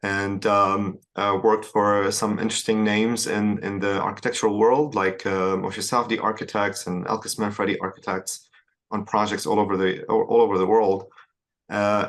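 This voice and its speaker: Man, lowish voice, measured, pauses and restarts, guest on an interview. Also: flat delivery, a bit bright. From Syria. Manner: measured, with frequent hesitations and restarts. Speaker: startup founder, guest. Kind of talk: casual interview answers.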